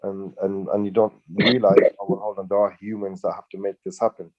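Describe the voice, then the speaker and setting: A man's voice: low voice, level tone, fairly quick, dull audio. A man co-hosting a casual business chat, rambling through his points with many fillers and restarts. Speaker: co-host.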